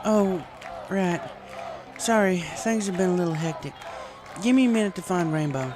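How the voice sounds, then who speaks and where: A man's voice: high voice, normal speed, over music, crowd noise, pitched above the performer's own voice, natural voice. A man voicing a plain-spoken, earnest young female farm pony in a cartoon fan story, folksy and direct.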